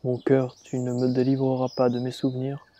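A man reciting a dramatic monologue as a dying rebel slave, in grave, deliberate, measured phrases. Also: medium pitch, completely flat delivery, mellow. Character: dying rebel slave reliving his revolt, grave and defiant. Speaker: reader performing a dramatic monologue. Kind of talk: slow literary dramatic recitation.

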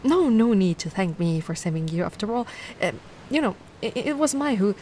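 A woman from Italy voicing a cute, kindly, anxious giant mermaid in an ASMR roleplay, flustered and softly cooing. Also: mid-range voice, sing-song, moderate pace, a little hiss or hum, close to an everyday voice. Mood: playful, flustered.

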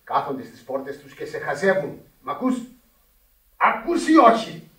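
A scolding parish priest in a radio play. His voice is high for a man, formal and emphatic as he admonishes. It sounds echoey and set well back from the microphone. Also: huge pitch swings, fairly bright tone, distant mic. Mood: scolding, indignant. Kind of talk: radio drama acting.

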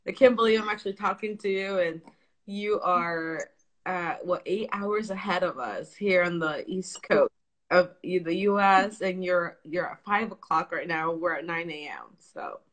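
A woman's voice: lowish voice, a little slow, fairly bright tone. A young woman hosting a live video interview, chatty and friendly, speaking into a phone in an indoor room. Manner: friendly, chatty, with frequent laughs. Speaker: host. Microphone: phone held close, front camera.

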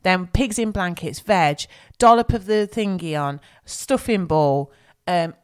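A woman's voice: on the low side, animated, a little slow, smooth. A woman with an English accent co-hosting a podcast, chatting in a rambling way, searching for words while she has a cold. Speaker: podcast co-host. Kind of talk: casual chat.

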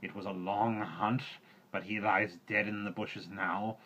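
A man puts on a fierce male panther who leans hard on slow, emphatic words. The voice is low. The sound is dull and a little noisy. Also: little pitch movement, sharp tone.

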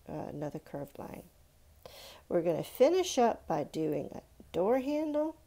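Female children's art instructor, medium pitch, encouraging, speaks straight to young viewers through each step. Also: vocal fry, mellow. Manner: asks simple questions, encouraging, addresses young viewers directly. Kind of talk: step-by-step drawing lesson for children. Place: indoors at a stone countertop.

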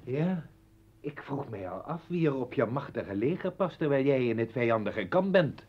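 Actor as husky, indignant husband, muffled recording. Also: male voice, medium pitch, animated, moderate pace, natural voice. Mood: sarcastic. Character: indignant, sarcastic husband defending his wife. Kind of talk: radio play acting. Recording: dull old radio recording.

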